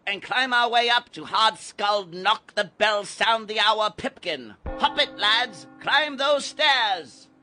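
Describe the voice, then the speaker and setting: An actor playing an excitable, gleefully eerie ringleader in an audio drama, exclaiming and urging in a declamatory way. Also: male voice, sits high, slow pace, few pauses, faint music behind, heavily acted. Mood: urgent, inspired.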